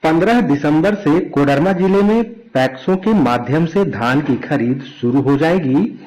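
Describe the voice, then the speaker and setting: A man's voice: fairly high pitch, animated, measured pace, darkish tone, poor audio. A man reading a formal scripted district report as a radio news correspondent.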